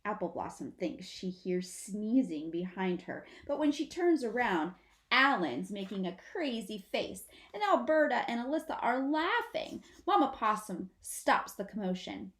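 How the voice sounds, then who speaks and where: A woman narrating a children's story aloud in an expressive read-aloud style. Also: mid-range voice, measured pace. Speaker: storybook reader. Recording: roomy, echoing sound.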